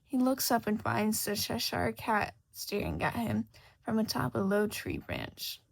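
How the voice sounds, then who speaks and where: A woman's voice: medium pitch, wildly swooping pitch, measured pace, creaky. A woman reading a scripted fiction story aloud as its narrator. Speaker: podfic narrator.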